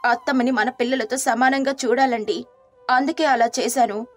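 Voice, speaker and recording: A woman with a high voice narrates a storybook tale and plays the caring wife. She speaks softly and earnestly, with light music underneath. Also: steady tone, normal speed.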